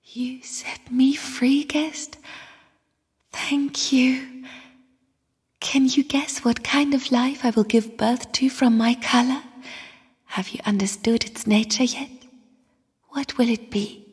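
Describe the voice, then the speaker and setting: Sad ghostly girl spirit from a game, slow whispery airy voice, kinda high, solemn and mysterious. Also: steady tone, husky, heavily acted. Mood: wistful. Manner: slow, hushed, solemn delivery. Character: mysterious, sorrowful young spirit sister of the void. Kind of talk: video game character voice acting. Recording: long cavernous reverb.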